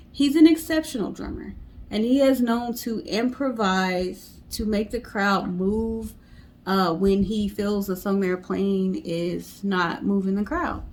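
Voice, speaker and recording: Female storytime co-host, unhurried casual narration, mid-range voice. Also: mid-range voice, darkish tone.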